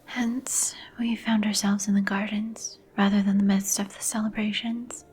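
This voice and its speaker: A woman playing a reserved, polite vampire heir in an ASMR roleplay, with a whispery, breathy voice and a guarded, formal manner. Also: medium pitch, little pitch movement, normal speed, a little mellow, slight background noise, natural voice. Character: reserved female vampire heir who shuns crowds.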